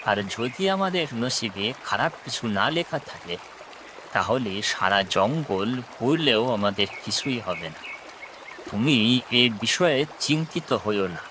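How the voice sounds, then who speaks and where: A man's voice: medium pitch, expressive, unhurried, noisy background, natural voice. A man voicing an earnest, reassuring pigeon friend in a children's cartoon.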